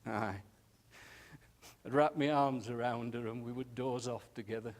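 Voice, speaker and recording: A man voicing an elderly widowed nursing home resident who talks slowly, haltingly and tenderly, often saying "aye". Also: medium pitch, steady tone, voice pushed down, natural voice. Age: older. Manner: slow, halting, chuckling, says "aye" often.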